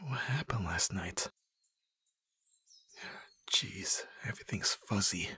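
A man acting an awkward, flustered young best friend in an ASMR roleplay, with a breathy, husky voice and hesitant, stammering delivery. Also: low voice, takes it slowly, sharp tone, exaggerated voice. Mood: groggy, muttering. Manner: hesitant, stammering, often dropping to whispers.

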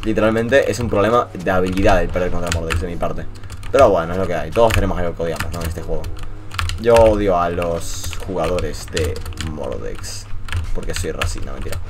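A young man from Venezuela with a mixed Latin American and Spanish accent, commenting casually over his gameplay in an animated, swearing, joking rant. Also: low voice, a little fast, noisy background. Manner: animated ranting, swears, jokey. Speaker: gaming YouTuber.

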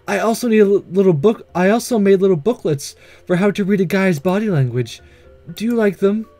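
A man voicing a gentle, studious, earnest schoolgirl in a visual novel, soft, polite and measured. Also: high-pitched, lively intonation, no echo, faint music behind. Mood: grateful, earnest. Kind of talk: visual novel read-aloud.

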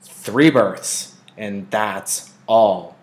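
A man's voice: on the low side, extremely slow. A man voicing a stern mother in an audiobook, firm and emphatic as she instructs her child.

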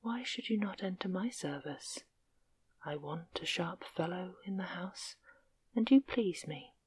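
A woman voicing a sly, cheerful old stranger with a long grey beard, in a soft whisper. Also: on the low side, measured pace, husky. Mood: wheedling.

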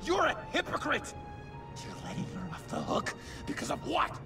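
A man playing a manic, theatrical clown vigilante in a video game, with a creaky, strident voice and dramatic emphasis. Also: very high voice, wildly swooping pitch, normal speed, distant mic, music in the background, heavily acted. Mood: betrayed, indignant.

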